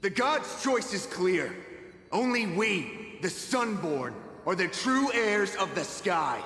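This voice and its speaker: A proud sky-folk fanatic, speaks slowly in a high, swooping voice. Each proclamation rings with scorn. Heard in a roomy, echoing space. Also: male voice, sharp tone, heavily acted. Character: haughty zealous male sky-folk priest. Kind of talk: video game dub acting. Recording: echoey reverberant hall.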